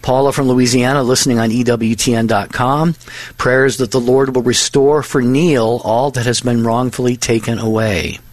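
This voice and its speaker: A man co-hosting a call-in prayer radio show, friendly and measured, thanking callers and reading texts. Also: medium pitch, fairly even intonation, dry recording. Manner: friendly, measured, reads listener texts aloud. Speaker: radio co-host.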